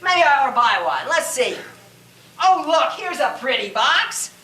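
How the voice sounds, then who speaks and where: A man's voice: extremely high pitch, sing-song, unhurried, pushed and bright, full character voice. A man voicing a naive, cheerful casual shopper in a gushing, dithering way, as part of a live talk. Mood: naive delight. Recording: echoey hall from far off, noisy.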